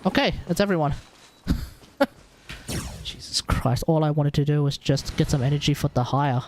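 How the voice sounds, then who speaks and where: A man's voice: fairly high pitch, normal speed, noisy background. An Australian man giving casual, rambling game commentary on a livestream, often talking to himself.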